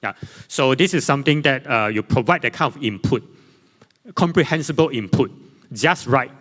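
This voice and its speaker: A man whose first language is Chinese gives a conference panel talk from his slides. He speaks haltingly, often repeating himself and correcting his words. His voice has a mid pitch and carries the long reverb of a large hall. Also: animated, fairly bright tone. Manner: halting, many fillers and self-repairs. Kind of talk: academic presentation with slides.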